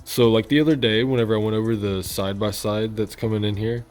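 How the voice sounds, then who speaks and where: A man's voice: lowish voice, steady tone, quick pace, a little mellow. A man hosting a gaming channel, giving casual, informal news commentary in a loosely rambling, colloquial way. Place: voice-over on gameplay footage. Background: music.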